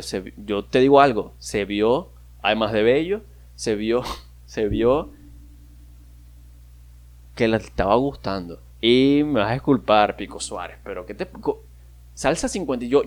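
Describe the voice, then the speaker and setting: Young maracucho guy rambling on his podcast, venezuelan accent, jokey and loose. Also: medium pitch. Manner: rambling, self-mocking, with frequent fillers and laughs. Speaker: podcast host. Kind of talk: casual solo podcast chat.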